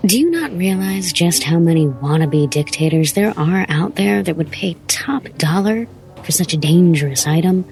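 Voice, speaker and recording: An actress playing a silky, scheming female crime boss in an audio drama, coy and smug with measured delivery. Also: on the low side, vocal fry, darkish tone.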